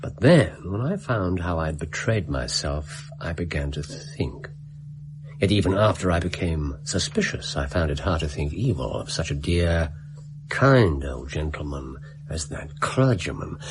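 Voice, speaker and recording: A man with an English accent playing a cool, precise, courteous gentleman detective in a radio drama, speaking formally. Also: sits low, animated, measured pace, background noise. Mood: measured, intent.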